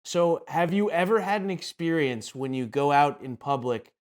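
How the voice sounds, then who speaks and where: A man's voice: on the high side, expressive, normal speed, darkish tone. A man hosting a podcast, putting questions to a guest in a casual, conversational style. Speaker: podcast host.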